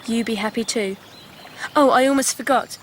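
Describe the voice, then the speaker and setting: A woman's voice: a little high, moderate pace, a little hiss or hum, close to an everyday voice. An actress playing a plucky, cheeky teenage girl in a radio drama. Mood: cheeky, fond.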